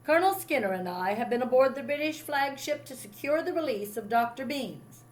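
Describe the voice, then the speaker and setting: A woman voicing a calm, courteous American gentleman in a storybook, polite and reassuring. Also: sits high, brisk.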